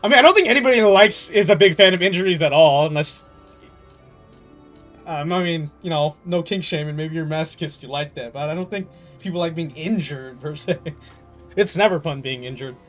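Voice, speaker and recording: A man with a slightly high voice chats casually to viewers as he streams a game. He is jokey and animated, and game music plays faintly behind him. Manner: animated storytelling, jokey, swearing, laughs often. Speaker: game livestreamer. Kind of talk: casual chat with viewers while gaming.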